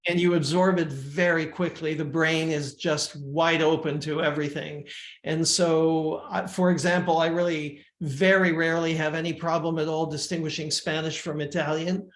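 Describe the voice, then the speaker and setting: An older man from Uruguay, a musician guest, talking in a measured, reflective way with frequent restarts. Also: a little high, level tone. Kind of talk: casual interview talk. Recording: echoey room.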